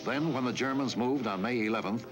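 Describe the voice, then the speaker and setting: A man reading scripted historical newsreel narration in a measured, formal delivery. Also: medium pitch, over loud music. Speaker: documentary narrator.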